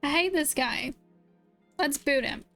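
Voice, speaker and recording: A woman's voice: sits high, measured pace, music in the background. A woman streamer giving bubbly, chatty commentary over a game playthrough and reading its text aloud. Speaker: gaming streamer.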